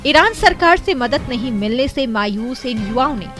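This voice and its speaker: A woman reading a scripted TV news report in a measured, formal way. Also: high voice, animated. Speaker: news voice-over narrator. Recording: voice-over with loud music bed.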